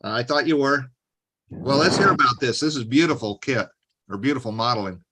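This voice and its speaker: A man's voice: mid-range voice, fairly quick, pushed and bright, slightly rough, poor audio. A man hosting an online hobby meetup, encouraging presenters and moving the session along. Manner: encouraging, moves things along. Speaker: meetup host.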